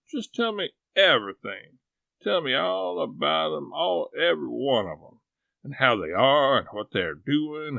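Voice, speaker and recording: A man voicing an excitable, fussy older farm woman who gushes and frets, in a gravelly voice. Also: no room sound, exaggerated voice. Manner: breathless, gushing, high-strung.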